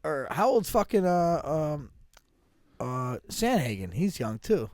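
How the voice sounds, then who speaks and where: A man's voice: on the high side, very animated, slow, a little mellow, dry recording. A man about to turn forty, a podcast co-host and fighter, chatting casually with lots of profanity and restarts. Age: 30s. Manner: profane, rambling, restarts often. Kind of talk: casual chat.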